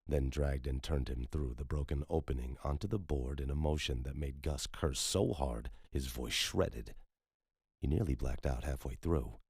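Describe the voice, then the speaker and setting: A man with an extremely deep voice narrates horror fiction. His tone is husky and rough, and the sound is very dry and close, like a scripted audiobook. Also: dry recording.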